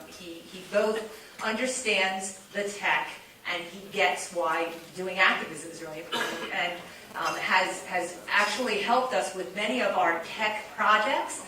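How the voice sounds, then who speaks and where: A woman's voice: mid-range voice, unhurried, pushed and bright. Woman, event host, informal and hesitant introduction, distant microphone, heavily echoing room. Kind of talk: informal spoken introduction to an audience. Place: large echoey event room. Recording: echoey hall, distant microphone.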